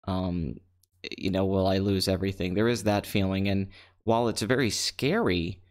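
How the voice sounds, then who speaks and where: A man in his twenties from Pennsylvania, hosting a live stream alone in an empty apartment and talking low and calm into a microphone with a flat, deadpan delivery. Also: normal speed. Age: 20s. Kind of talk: casual solo live-stream talk. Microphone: desk microphone.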